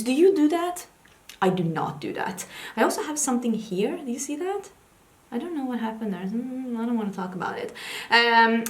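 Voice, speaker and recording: A woman's voice: fairly high pitch, reverberant. A young Swedish woman with a Swedish accent, chatting casually at her makeup station while she gets ready, giggling and teasing herself. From Sweden. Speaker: beauty vlogger. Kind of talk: casual get-ready-with-me chat. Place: home makeup station, indoors.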